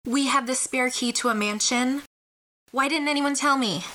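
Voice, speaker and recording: An actress voicing a whiny, dramatic teenage little sister with a pleading manner in a modern audio drama. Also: female voice, high-pitched, normal speed, sharp tone. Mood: indignant. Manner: dramatic, pleading, uses teen slang.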